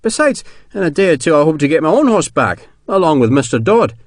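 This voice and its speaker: Man playing a high-pitched, indignant old colonel. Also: big pitch swings, brisk, no echo, studio quality. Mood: indignant, protesting. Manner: indignant, exclaiming, formal. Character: Scottish colonel, stern, upright, flustered older officer. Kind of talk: audiobook character dialogue.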